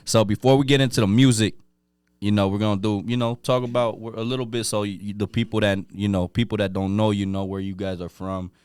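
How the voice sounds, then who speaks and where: A man's voice: lowish voice, fairly even intonation, fast, darkish tone, smooth, no room sound. A man hosting a podcast, chatting casually in a laid-back way with frequent filler phrases. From Mexico. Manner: laid-back, repeats "that's what's up" and "you know what I'm saying". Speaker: podcast host. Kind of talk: casual interview chat.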